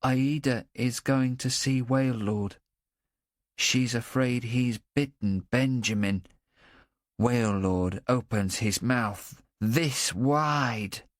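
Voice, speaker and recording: A man's voice: mid-range voice, no room sound, clean studio sound, voice pushed up, lightly acted. A man doing an anxious, childlike young boy. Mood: earnest. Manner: halting, earnest, childlike. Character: anxious simple-minded young boy, earnest. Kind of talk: audiobook character voice.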